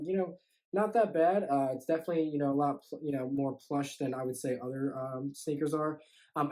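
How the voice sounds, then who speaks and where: A man's voice: on the high side, darkish tone, poor audio. A young man in his twenties with a stuffy, congested voice, talking casually to the camera through a sneaker unboxing, with lots of fillers and "you know"s. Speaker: sneaker YouTuber. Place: indoor room with shoe boxes.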